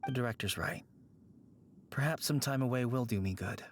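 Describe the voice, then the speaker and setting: A man voicing a gentle, grieving man in a video game, subdued, earnest and resigned to regret. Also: medium pitch, normal speed, lightly acted. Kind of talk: video game character acting. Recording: game dialogue with music underneath.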